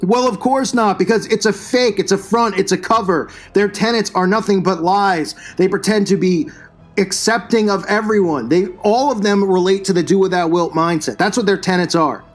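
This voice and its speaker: High-voiced man ranting scornfully. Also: moderate pace. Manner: combative, scornful, preachy. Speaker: channel host and commentator. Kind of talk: religious commentary rant over news clips.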